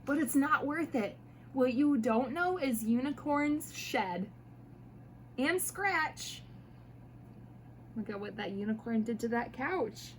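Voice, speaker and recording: A woman reading picture books aloud to children in an expressive, playful way, talking directly to her young listeners. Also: high-pitched, slow pace, pauses often, a little hiss or hum. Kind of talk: animated storybook read-aloud.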